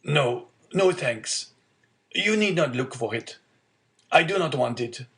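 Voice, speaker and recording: A frail old father from a classic storybook, put on by a man reading aloud. He speaks in a raised voice, meek and halting. His manner is gentle and self-denying, always placating. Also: on the high side, expressive, pitched above the performer's own voice, full character voice. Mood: weak, reassuring.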